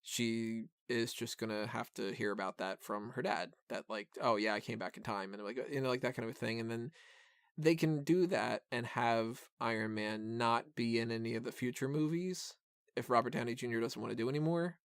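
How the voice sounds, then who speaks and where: A man's voice: mid-range voice, moderate pace, clear, resonant tone, dry recording, studio quality. A man hosting a film review podcast, talking casually and giving opinions in a rambling, self-interrupting way full of "like".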